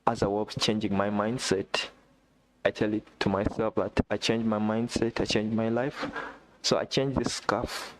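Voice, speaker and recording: A man from Uganda, not a native English speaker, chatting excitedly on a live stream, repeating himself and talking straight to a viewer. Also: on the low side, a little slow. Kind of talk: casual live-stream chat. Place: indoor room.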